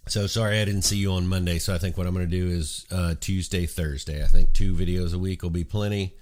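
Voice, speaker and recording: A man hosting a casual morning chat show, a little congested from a cold, talking in a relaxed, rambling way full of ums and uhs. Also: low-pitched, a little fast. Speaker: host.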